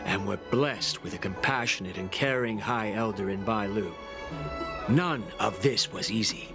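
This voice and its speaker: A man's voice: lowish voice, normal speed, over music, close to an everyday voice. A man voicing a stern official in a video game, formal and admonishing as he gives warnings. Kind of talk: scripted video game voice acting.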